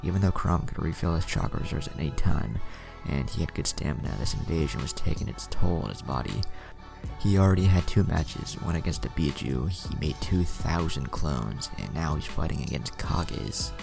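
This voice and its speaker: A man's voice: a bass voice, lively intonation, normal speed, vocal fry. A man reading scripted fan fiction as a narrator. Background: music.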